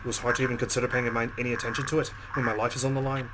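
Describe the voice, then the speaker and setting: A man's voice: mid-range voice, level tone, rapid-fire, very noisy. A man hosting a let's play, reading visual novel narration dramatically and reacting with exclamations.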